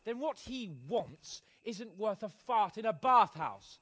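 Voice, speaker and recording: A man's voice: sits high, expressive, slow pace, a bit bright, no echo, full character voice. An actor playing an arrogant, hot-tempered young nobleman in a video game, with a haughty, sneering drawl. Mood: haughty, mocking. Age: young adult. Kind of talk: dramatic video game acting.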